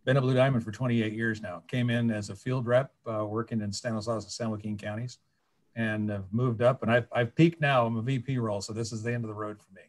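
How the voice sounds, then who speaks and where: A man's voice: medium pitch, fairly even intonation, brisk. A man in his sixties from California, telling his story in a casual, plainspoken way with wry asides, speaking into a webcam from a small office. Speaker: guest panelist. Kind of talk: casual storytelling on a video call. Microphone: video call webcam.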